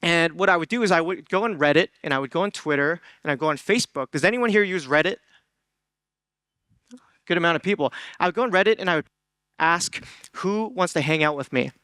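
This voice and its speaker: A man's voice: on the high side, lively intonation, brisk, sharp tone, clean tone, no echo, clean studio sound. A young man from Hong Kong giving an earnest motivational talk on stage, often asking the audience questions, with ums and restarts. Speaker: conference speaker.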